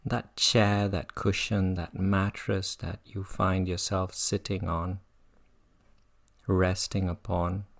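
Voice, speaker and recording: Very slow, low-pitched meditation guide. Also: gravelly. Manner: gentle, unhurried. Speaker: meditation teacher.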